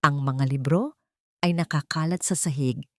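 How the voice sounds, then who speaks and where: A woman giving slow, solemn, scripted narration for an audio drama. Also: low voice, darkish tone, dry recording, clean studio sound.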